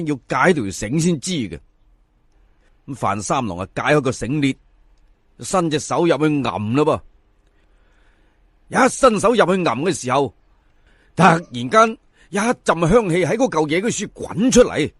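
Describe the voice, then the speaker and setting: A Cantonese man tells a folk story with lively swings of pitch. His voice sits a little high for a man, and he is theatrical and chatty, addressing the audience directly. The recording is dry and close. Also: moderate pace, pauses often, no echo. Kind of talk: dramatic folk-tale narration in episodes.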